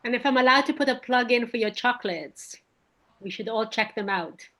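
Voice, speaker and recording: A woman helps steer a group call with casual remarks. Her voice sits a little higher than average. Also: fairly quick, a bit bright. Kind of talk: casual video-call chat.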